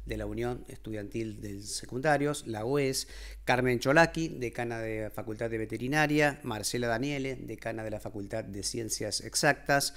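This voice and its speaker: A man in his fifties reads the news with an Argentine accent, his voice gravelly and steady. He speaks in a formal presenter's tone at a studio desk mic. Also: mid-range voice, normal speed. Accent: Argentine Spanish. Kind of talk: scripted news reading. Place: television studio. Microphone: desk microphone.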